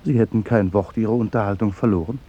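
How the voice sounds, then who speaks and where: An actor playing a discreet but nosy waiter, measured and dryly confidential, in a 1950s radio play. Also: male voice, on the low side, mellow, slightly rough, highs cut off. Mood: matter-of-fact. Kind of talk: 1950s German radio drama acting. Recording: faintly noisy 1950s radio recording.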